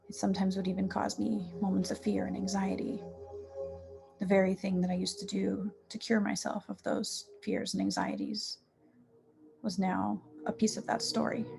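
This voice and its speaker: A woman teaching yoga nidra, guiding a meditation in a soothing, unhurried manner with pauses between short phrases. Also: medium pitch, creaky. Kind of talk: guided meditation. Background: music.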